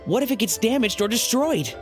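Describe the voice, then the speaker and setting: A woman's voice: a little high, animated, fairly quick, faint music behind, close to an everyday voice. A woman playing an earnest, worried researcher in a video game, speaking politely and pleadingly. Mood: anxious.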